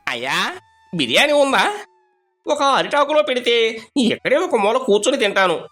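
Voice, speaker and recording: An actor playing a proud, older rich villager in an animated moral story, with a dramatic, exclamatory manner. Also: medium pitch, normal speed. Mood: furtive, hesitant.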